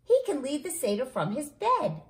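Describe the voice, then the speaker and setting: A woman's voice: sits high, sing-song, normal speed. Woman reading as an eager, insistent young granddaughter. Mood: excited. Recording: echoey room.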